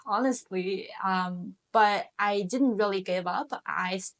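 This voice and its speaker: A woman's voice: mid-range voice, slow. A young woman in her twenties, from China with Chinese as her first language, talking earnestly as an interview guest, with many fillers and restarts. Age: 20s. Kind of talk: casual interview conversation.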